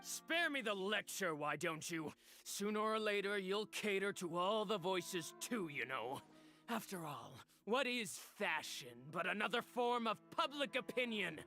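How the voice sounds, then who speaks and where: A sneering bitter rival designer. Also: male voice, high-pitched, lively intonation, measured pace, pushed and bright, soft background music, heavily acted. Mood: scornful. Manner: sneering, mocking. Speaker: game character.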